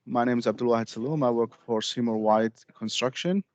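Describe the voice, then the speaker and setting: A man from Syria giving a steady, slightly formal group presentation over a video call. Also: medium pitch, fairly quick, dull audio. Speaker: student presenter. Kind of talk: class presentation.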